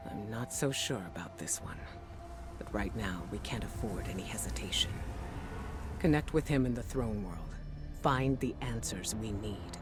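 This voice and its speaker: Actor as a stern mentor figure, measured and grave, game score behind. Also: male voice, lowish voice, close to an everyday voice. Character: stern commanding mentor and leader. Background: music.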